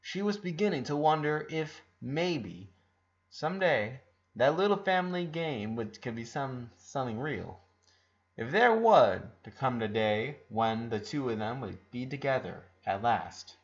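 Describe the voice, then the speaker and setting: Male narrator for audiobook-style fiction, mid-pitched, stressing words dramatically, on a slightly muffled low-fidelity recording. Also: lively intonation, measured pace. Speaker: narrator reading fan fiction aloud. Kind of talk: scripted narration, audiobook-style story reading. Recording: dull lo-fi recording.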